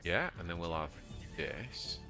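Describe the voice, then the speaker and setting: A man with a Yorkshire accent streaming a card game live, chatting to viewers and yelping with excitement at surprises. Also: measured pace, over music. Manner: excitable, bursts into high yelps at surprises. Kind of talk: live gameplay commentary.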